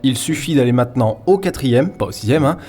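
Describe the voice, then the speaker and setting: A man's voice: medium pitch, expressive, a little fast, darkish tone, faint music behind, natural voice. A man playing a jaded, colloquial office old-timer who rambles and swears in a comedy audio drama. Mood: rambling, helpful.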